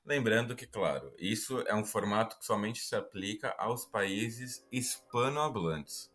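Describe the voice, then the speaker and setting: A man's voice: lowish voice, expressive, measured pace, fairly bright tone, faint music behind. Chatty Brazilian man explaining history. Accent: Brazilian Portuguese. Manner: chatty, explanatory, self-deprecating asides. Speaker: podcast host. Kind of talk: casual history podcast explainer.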